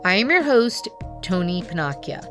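A woman hosting a podcast, reading a formal scripted introduction and asking interview questions in a measured way. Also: on the low side, big pitch swings, fairly bright tone, soft background music. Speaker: podcast host.

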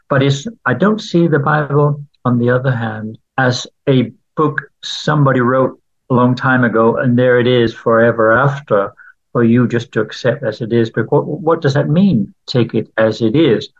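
A man's voice: mid-range voice, dull audio. A Danish man, a native Danish speaker, answering interview questions about the Bible as a translator, thoughtful and questioning with frequent fillers. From Denmark.

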